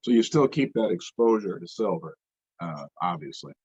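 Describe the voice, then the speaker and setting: A man's voice: sits low, lively intonation, normal speed, darkish tone, breathy edge, dry recording. A man hosting an interview, asking questions in an easygoing way and giving frequent short acknowledgements. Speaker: interview host. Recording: dull, slightly muffled audio.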